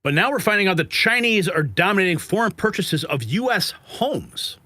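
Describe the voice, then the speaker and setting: A man's voice: high-pitched, sing-song, normal speed, pushed and bright, no echo. A man hosting an online news show, giving opinionated commentary with sarcastic, scoffing asides.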